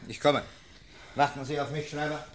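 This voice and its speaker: An actor playing a cold, sardonic police lieutenant in a radio drama, brusque, clipped and condescending. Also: male voice, on the high side, steady tone, moderate pace, background noise, natural voice. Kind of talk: German radio drama acting.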